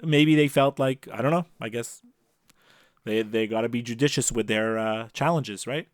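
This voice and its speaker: A man's voice: mid-range voice, moderate pace, dry recording. A man co-hosting a casual sports podcast, chatting on a close mic over a video call.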